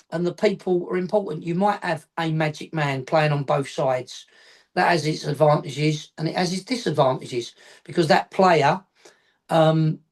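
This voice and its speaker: Middle-aged man explaining on a video call. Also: a little high. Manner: rambling, repeats points, adds afterthoughts. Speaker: football coach educator, guest. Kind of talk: casual explanatory chat. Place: home office.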